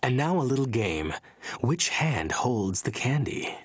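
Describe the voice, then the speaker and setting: A man voicing a stoic game warrior who speaks in a formal, measured, old-fashioned manner. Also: medium pitch, sing-song, breathy edge, dry recording, clean studio sound, heavily acted. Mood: solemn, formal.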